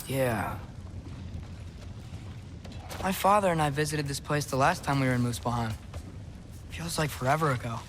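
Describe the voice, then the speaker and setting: A man's voice: medium pitch, moderate pace, long pauses, faint music behind, lightly acted. A young man playing an earnest, guarded teenage boy hero in a video game, hesitant and stammering when flustered. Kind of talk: naturalistic video game acting.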